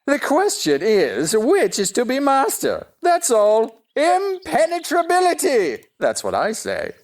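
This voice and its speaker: A woman's voice: high-pitched, wildly swooping pitch, takes it slowly, darkish tone, heavily acted. A woman playing a pompous, touchy, argumentative talking egg in a stage-play style audio drama, with haughty, exaggerated emphasis. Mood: smug. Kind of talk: theatrical audio drama acting.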